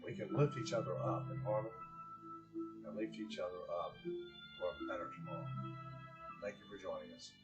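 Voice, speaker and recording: A man reading aloud and hosting for children, with expressive, drawn-out phrasing and a gentle, encouraging way of addressing them. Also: low voice, loud music behind the voice. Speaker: children's story reader and host.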